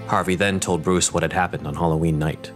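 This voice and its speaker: A man's voice: sits low, steady tone, fairly quick, faint music behind. A man reading scripted narration for an audio drama in the third person.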